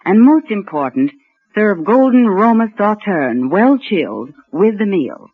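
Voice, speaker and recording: Animated woman in a vintage radio ad. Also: mid-range voice, a little slow, slightly rough. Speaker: commercial spokesperson. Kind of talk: 1940s scripted radio advertisement. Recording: muffled old radio recording.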